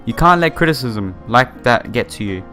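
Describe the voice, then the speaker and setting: A man's voice: medium pitch, animated, moderate pace, pushed and bright. A man doing a casual visual novel playthrough, reading the story aloud and reacting in an informal, chatty way. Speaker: let's play YouTuber. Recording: music behind the voice. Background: game music.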